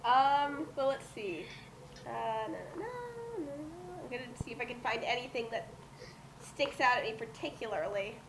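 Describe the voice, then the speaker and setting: A woman bantering casually and reacting excitably during a group reading, full of exclamations. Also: high-pitched, moderate pace, background noise. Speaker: commentator in a dramatic fanfic reading.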